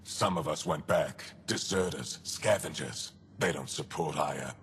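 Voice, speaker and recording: Very deep, gravelly alien commander. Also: male voice, measured pace, fairly bright tone, slight background noise, full character voice. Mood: grim, guarded. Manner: curt, guarded. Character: gruff, guarded alien male resistance commander. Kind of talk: video game cutscene dialogue.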